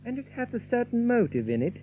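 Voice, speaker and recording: An actor playing a genteel, wry young outlaw with a refined manner, speaking archaic English in a radio drama. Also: male voice, very high-pitched, very animated, fast talker, warm tone, soft background music, poor audio. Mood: wry musing.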